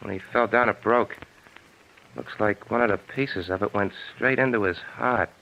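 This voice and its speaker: An actor playing a blunt, colloquial working man with a gravelly voice in 1940s radio drama style. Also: lowish voice, normal speed. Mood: blunt, matter-of-fact. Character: plain-spoken working man bystander, blunt and startled. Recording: muffled, hissy old radio recording.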